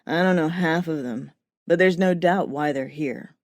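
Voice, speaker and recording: A woman voicing a smug, scornful dead male banker in an audiobook, with a gruff sardonic manner. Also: low-pitched, normal speed, dry recording, voice pushed down. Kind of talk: audiobook character voice.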